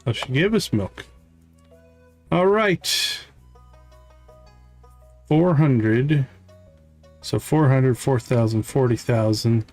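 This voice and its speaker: A man's voice: mid-range voice, expressive, slow, long pauses, a little mellow, faint music behind. A man giving casual live commentary on a game he is playing, chatting to the characters as he goes. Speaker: game streamer. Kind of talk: live gameplay commentary, reading game dialogue aloud.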